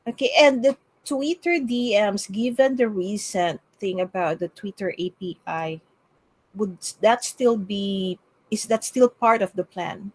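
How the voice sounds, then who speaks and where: Woman host running a live q&a, quick to chime in with questions. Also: mid-range voice, rough recording. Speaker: interviewer, channel host. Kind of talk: live interview.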